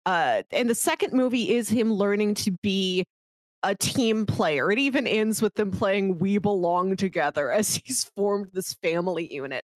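A woman's voice: medium pitch, normal speed, no echo. A woman co-hosting a podcast in casual, conversational discussion. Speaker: podcast co-host.

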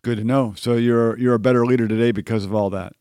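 A man's voice: mid-range voice, steady tone, fast, dark tone, smooth, no echo, clean studio sound. A man interviewing a student, asking encouraging follow-up questions in a conversational way.

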